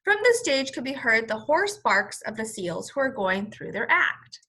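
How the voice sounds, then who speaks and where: A woman reading a children's story aloud as a teacher, in an expressive, explanatory manner. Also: fairly high pitch, moderate pace, slightly rough. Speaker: first-grade teacher.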